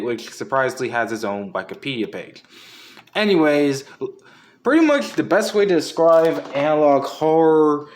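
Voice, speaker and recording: Rambling man hosting a casual video essay. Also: mid-range voice. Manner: rambling, self-correcting, hedging. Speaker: video host.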